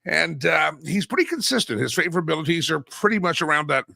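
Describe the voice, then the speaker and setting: A man hosting a talk show with a lively, bouncing delivery. His voice is bright and a little hoarse from a cold, and he rambles and jokes with plenty of fillers. The sound is dry and close on a studio mic. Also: a little high, fast, no echo. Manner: rambling, joking, filler-heavy. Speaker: radio and stream host. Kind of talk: casual talk show monologue.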